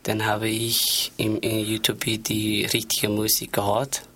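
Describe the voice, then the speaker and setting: A man from Somalia with a Somali accent, a radio guest speaking simple, halting German. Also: fairly low pitch, monotone, sharp tone, a little hiss or hum. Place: radio studio.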